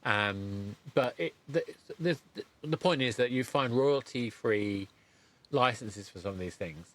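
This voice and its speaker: A man's voice: mid-range voice, expressive, a bit bright. A middle-aged man hosting a podcast interview in a conversational, rambling way, often breaking off and correcting himself. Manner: halting, rambling, self-correcting. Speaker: podcast host interviewing a guest.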